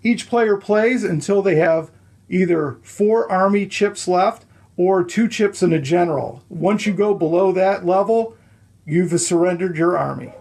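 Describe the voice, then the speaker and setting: A man with a slightly high voice walks through the rules of a board game step by step. He is patient and methodical, counting moves out loud and pausing to check that the listener follows. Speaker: explaining game rules at the table.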